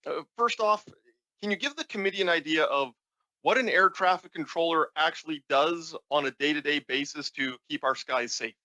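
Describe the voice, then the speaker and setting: Male politician, formal questioner, fairly high bright voice, very dry sound. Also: moderate pace, no echo. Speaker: member of parliament questioning a witness.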